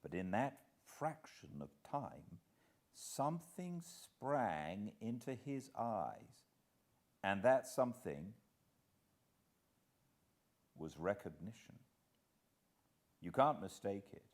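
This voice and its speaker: A British man narrating a literary audiobook in a measured, expressive way with dramatic pauses. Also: fairly low pitch. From Britain.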